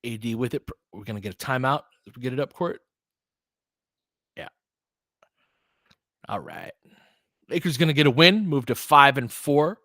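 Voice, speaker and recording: A man's voice: mid-range voice, moderate pace, pauses often, sharp tone, dry recording, clean studio sound. A man doing informal basketball play-by-play as a host, chatting conversationally and thinking aloud. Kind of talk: casual basketball commentary mixed with chat replies.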